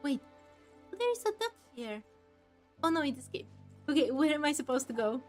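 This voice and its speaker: A woman's voice: high voice, big pitch swings, normal speed. A woman giving casual game playthrough commentary, wondering aloud and questioning what happens. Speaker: game streamer. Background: game music.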